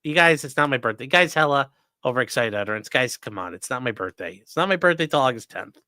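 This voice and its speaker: A man's voice: medium pitch, expressive, fast, bright, forceful tone, no room sound, studio quality. A man hosting a livestream, joking through sponsor reads and giving blunt, sarcastic opinions. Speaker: livestream host, defense attorney. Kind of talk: casual livestream commentary.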